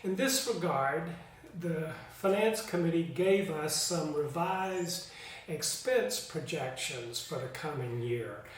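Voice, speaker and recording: A man's voice: on the high side, slow pace. A man reading an informal scripted board report in an orderly, matter-of-fact way. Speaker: church board member giving a meeting recap. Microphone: distant microphone. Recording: very echoey room, distant mic, faint noise.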